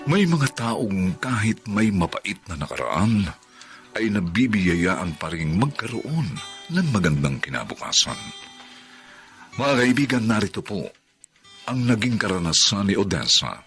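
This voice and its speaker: Man, radio drama narrator, solemn, slow, lowish voice, faint music. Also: big pitch swings, fairly bright tone.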